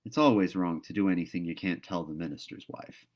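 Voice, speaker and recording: A man's voice: sits low, a little fast, voice pushed down, lightly acted. A man voicing a talkative, dreamy young girl in a storybook read-aloud, earnest and gushing. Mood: earnest, chatty.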